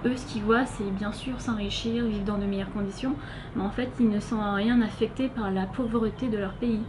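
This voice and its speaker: A woman's voice: mid-range voice, fairly even intonation, normal speed. A young woman from France with a French accent, answering interview questions casually and hesitantly, with many filler sounds. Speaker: interviewee, volunteer. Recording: noisy background hiss.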